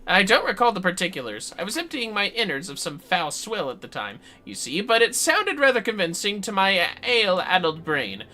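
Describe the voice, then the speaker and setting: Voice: mid-range voice, big pitch swings, normal speed, hardly any pauses, pushed and bright. A streamer with an androgynous voice voicing a boastful, ale-addled fantasy adventurer, reading game dialogue in theatrical, wordy, old-fashioned language. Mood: grandiose, enticing. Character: boastful, florid ale-addled adventurer pitching treasure hunting.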